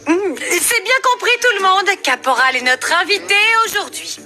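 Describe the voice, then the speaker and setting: A woman's voice: extremely high pitch, very animated, moderate pace. A woman playing a kindly, reassuring mother in a cartoon, with music underneath. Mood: firm, kindly. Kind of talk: cartoon dub acting.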